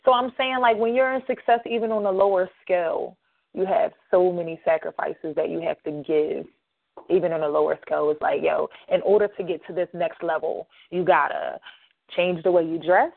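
A woman hosting a podcast who talks straight to her listeners in a loose, rambling way, full of fillers and sudden rises in pitch. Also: steady tone, normal speed, vocal fry, dry recording. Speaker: podcast host. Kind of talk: casual monologue to listeners. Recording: tinny dry phone-like line.

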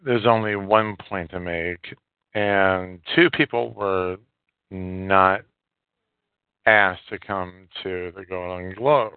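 Man, solo podcast commentary, very slow pace, halting and emphatic, opinionated, thin telephone-like audio. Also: low-pitched, steady tone, clear, resonant tone, dry recording. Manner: halting, repetitive, emphatic phrasing; coarse, swearing. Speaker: podcast host. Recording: narrow phone-like line, dry.

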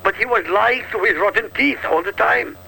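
A man from Iraq argues on talk radio in short, punchy, combative bursts. His voice sits high and swings widely, with a gravelly edge and a sharp, bright tone. A faint hiss runs under the line. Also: moderate pace, low-quality recording. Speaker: radio talk show regular. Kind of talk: heated political talk radio.

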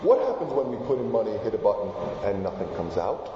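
A man's voice: on the low side, quick pace, noisy background. Man in an echoey hall. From Argentina. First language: Spanish. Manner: animated, asks the audience questions. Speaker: keynote speaker. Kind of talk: lively presentation to a live audience. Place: large hall. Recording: echoey hall, dull sound.

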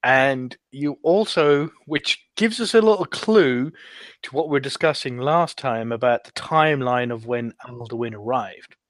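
Halting man recounting a game quest. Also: medium pitch, fairly bright tone, dry recording. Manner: halting, groping for words. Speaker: podcast roundtable panelist.

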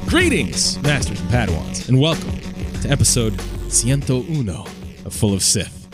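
A man's voice: mid-range voice, sing-song, moderate pace, over loud music. A man hosting a fan podcast enthusiastically, with playfully grandiose and emphatic phrasing. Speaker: podcast host.

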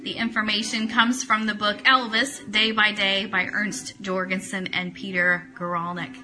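A woman's voice: mid-range voice, level tone, normal speed, sharp tone, low-quality recording. A woman hosting a casual live-streamed talk show, chatting enthusiastically, greeting viewers and reading aloud. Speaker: host of an Elvis talk show. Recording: music playing in the background. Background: Christmas CD music.

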